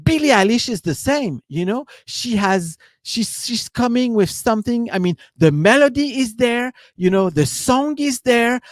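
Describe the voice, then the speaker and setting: A man's voice: very high-pitched, expressive, unhurried, few pauses, dry recording, studio quality. A man with a French accent, giving a loose, rambling live-stream talk as a business coach, leaning on "you know" and "right?". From France.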